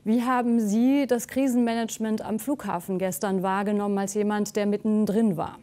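A woman news presenter with a standard German accent puts questions in a live interview. Her voice is mellow and relaxed, at a medium pitch. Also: moderate pace. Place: TV news studio.

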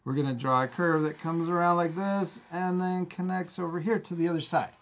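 A man's voice: a little high, fairly even intonation, brisk. A man in his thirties hosts a children's drawing lesson. He talks casually, step by step, with an encouraging manner and frequent chuckles. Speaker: drawing instructor and host. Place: indoor studio, at a table.

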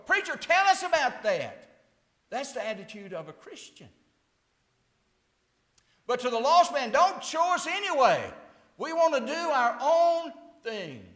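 A man in his sixties preaching a fiery sermon at a church pulpit, swinging from hushed tones to shouts. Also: extremely high pitch, big pitch swings, a little slow, long pauses. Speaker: pastor. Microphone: lapel mic, far camera. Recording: echoey church sanctuary.

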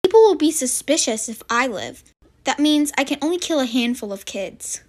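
A woman's voice: high-pitched, expressive, moderate pace, dry recording. A woman voicing a bossy, falsely sweet camp counselor with murderous schemes in a roleplay story. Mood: scheming.

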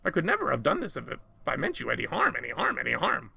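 A man's voice: medium pitch, wildly swooping pitch, quick pace, gravelly, pushed and bright, breathy edge, no room sound, exaggerated voice. A man voicing a small, frantic, lovesick monster in a storybook, pleading and repeating words.

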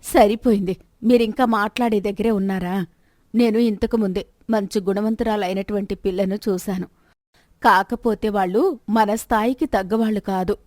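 A woman plays a middle-aged merchant's wife in a moral tale. She is practical and persistent, chiding and questioning at a middling pitch. The sound is close and dry. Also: fairly even intonation, normal speed, a little mellow, no echo, close to an everyday voice. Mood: chiding, persuasive. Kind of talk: moral story dialogue.

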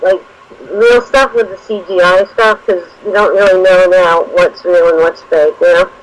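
A man co-hosting a radio talk show, chatting casually in a halting way with restarts and "you know.". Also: high voice, fairly even intonation, poor audio. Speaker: radio co-host. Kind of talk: casual on-air chat.

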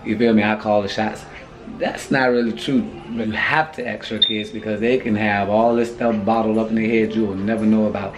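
A man in his thirties, hoarse from shouting, talking loosely to a handheld phone camera in his apartment and car, with lots of slang and "you feel me". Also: fairly low pitch, fairly even intonation, fairly quick, music in the background, poor audio. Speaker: family vlogger. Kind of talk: casual vlog talk to camera. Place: apartment hallway indoors, then parked car. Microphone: handheld phone camera at arm's length.

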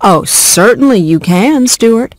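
A woman voicing a kindly female flower seller in a game, gracious, gentle and friendly. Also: a little high, animated, slow, slight background noise. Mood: kindly, grateful. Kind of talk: scripted game cutscene dialogue.

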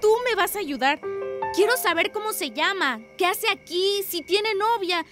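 A woman's voice: very high voice, lively intonation, moderate pace, over music. A woman playing a gushing, lovestruck teenage girl in a radio drama, with a breathless, effusive manner. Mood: pleading, eager.

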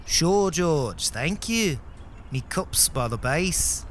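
Dreamy british pop star, high voice, real slow, polite. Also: male voice, very animated, a little hiss or hum. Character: dreamy, musical, polite young male Beatle. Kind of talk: sketch comedy radio play.

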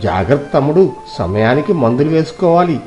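A man's voice: on the high side, sing-song, fairly quick, a little mellow, faint music behind. A man voicing a wheedling, fussing elder brother, an older village man in a dramatized moral story. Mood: coaxing, solicitous.

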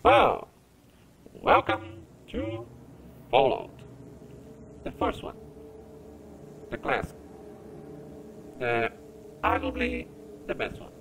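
A Brazilian man hosts casual gameplay commentary with a native Portuguese speaker's accent. He talks slowly and hesitantly with lively swings in pitch, over clear background noise. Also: poor audio. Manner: hesitant, expressive. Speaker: gamer, gameplay host.

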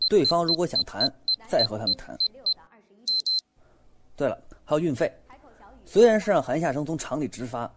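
A man playing a shrewd, bossy young businessman in an audio drama, animated and coaching in manner. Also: fairly high pitch, normal speed, long pauses, noisy background. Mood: brisk, insistent. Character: shrewd, bossy, lively young businessman, soft-hearted underneath. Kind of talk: Chinese audio drama acting.

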